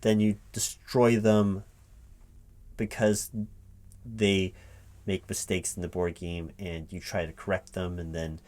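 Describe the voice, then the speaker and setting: A man playing a recruiting interviewer in a one-sided spoken ASMR roleplay, halting and repeating his words in a baffled, exasperated way. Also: low-pitched, little pitch movement, darkish tone. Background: computer keyboard typing.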